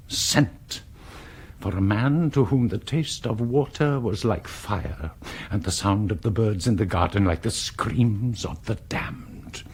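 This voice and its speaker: An actor playing a weary, ascetic old bishop in a radio drama, husky and portentous, full of disgust at mankind. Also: male voice, fairly low pitch, heavily acted. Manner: slow, portentous, rhetorical.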